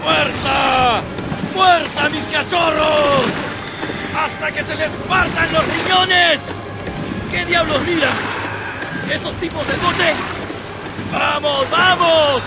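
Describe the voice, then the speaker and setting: An actor playing a carefree, pipe-smoking whaleboat officer who shouts orders at his rowers in a radio drama. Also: very high-pitched, exaggerated voice. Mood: urging. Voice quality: shouting, rough and driving. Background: dramatic music.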